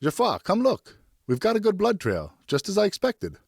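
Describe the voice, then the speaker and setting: Audiobook role: man playing fatherly veteran hunter, reassuring guide, raised pitch, dry recording. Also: huge pitch swings, moderate pace, vocal fry, breathy edge, dry recording, heavily acted. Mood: excited. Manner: reassuring, instructive. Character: steady, encouraging father, seasoned hunter. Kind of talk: audiobook character acting.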